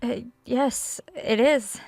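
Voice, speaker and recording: A woman's voice: on the high side, slow, vocal fry, darkish tone, dry recording, faint music behind, exaggerated voice. An actress playing an unsettled woman author alone in a haunted house, hesitant and distracted, in a horror audio drama. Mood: startled, evasive.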